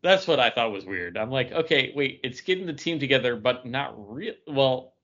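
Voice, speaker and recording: A man's voice: medium pitch, expressive, moderate pace, a bit bright, lo-fi sound. A man casually talking through a story as a podcast co-host. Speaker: comic book podcast co-host. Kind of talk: casual book discussion.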